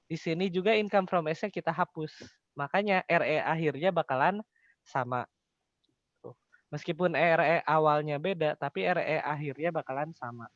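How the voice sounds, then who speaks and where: Echoey, higher-pitched young male tutor. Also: normal speed, a bit bright, highs cut off. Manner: poses questions then answers them, colloquial. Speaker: accounting tutor. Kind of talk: informal explanatory tutoring.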